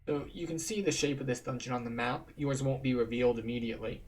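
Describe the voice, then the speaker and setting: A man's voice: mid-range voice, quick pace. A man giving casual, matter-of-fact gameplay commentary as he explains things like a tour guide. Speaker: game streamer and tour guide. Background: game music.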